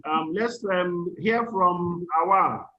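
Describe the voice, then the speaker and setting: A man's voice: sits high, takes it slowly, bright, forceful tone. A man with a Nigerian accent moderating an informal online meeting and calling on participants. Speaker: workshop host calling on participants. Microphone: video call. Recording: dull lo-fi online call audio.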